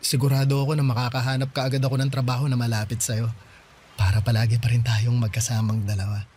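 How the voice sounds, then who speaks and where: A man's voice: mid-range voice, level tone, fast, darkish tone, a little hiss or hum, lightly acted. An actor playing an earnest, eager young man in love, pleading in a radio drama. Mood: excited.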